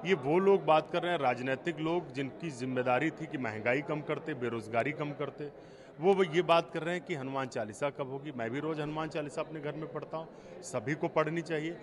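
A man's voice: a little high, fairly quick, a crowd in the background. A young man, a party spokesman, making an earnest, argumentative point to a reporter. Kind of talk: interview statement to a reporter. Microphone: handheld reporter's microphone.

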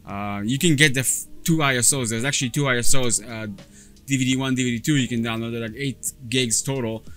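A man in his thirties whose first language is Polish talks through a tutorial in a relaxed, rambling way, often restarting his sentences. His voice sits at a middle pitch and is picked up close on a clip-on mic in an indoor workshop. Faint music plays behind him. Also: brisk. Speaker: data recovery technician. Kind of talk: casual screen-recorded tutorial. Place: cluttered workshop or lab, indoors. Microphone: clip-on lapel mic.

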